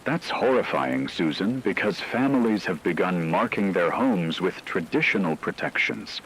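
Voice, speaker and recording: A man's voice: deep voice, lively intonation, normal speed, fairly bright tone, a little hiss or hum, rough recording. A man playing a grave radio news anchor, reading a horror broadcast in a solemn, formal, ominous way. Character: grave male radio news anchor reporting supernatural terror.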